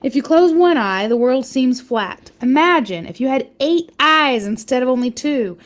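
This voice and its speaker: Female picture-book reader: high voice, very animated delivery, expressive emphasis, casual asides to young listeners. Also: normal speed. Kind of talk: animated read-aloud storytelling with casual asides.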